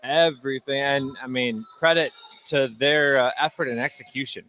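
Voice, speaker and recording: An American man giving casual, halting basketball analysis as a radio colour commentator. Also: mid-range voice, fairly even intonation, pushed and bright. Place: indoor high school basketball game. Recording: live gym broadcast with background noise.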